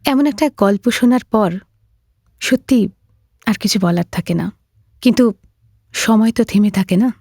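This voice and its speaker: A woman's voice: medium pitch, unhurried, vocal fry, pauses often, darkish tone, in a large hall. A woman narrating a scripted story dramatically, as presenter of an audio drama.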